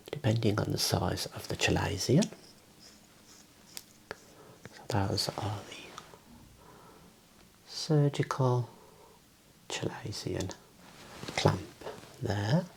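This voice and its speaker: A soft, breathy whisper in an ASMR medical role play, gently and politely explaining and instructing step by step as a clinician. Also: sits low, poor audio. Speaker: ASMR performer playing a clinician.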